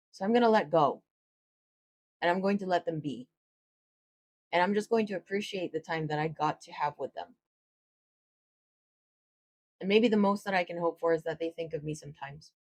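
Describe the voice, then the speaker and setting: A woman with a low voice, a tarot reader gently explaining spiritual ideas in a casual, reflective talk to camera. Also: no room sound.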